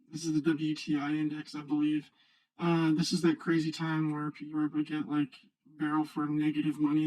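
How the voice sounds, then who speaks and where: A man speaking through a voice changer, giving casual, halting, unscripted screen-share commentary as a video host. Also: fairly high pitch, dry recording, low-quality recording. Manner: halting, rambling, unscripted delivery. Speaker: video host, new finance YouTuber.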